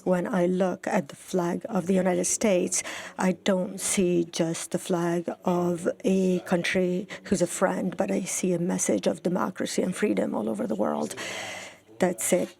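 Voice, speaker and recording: A woman interpreting live in a formal register, halting and going phrase by phrase. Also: fairly low pitch, little pitch movement, creaky, warm tone.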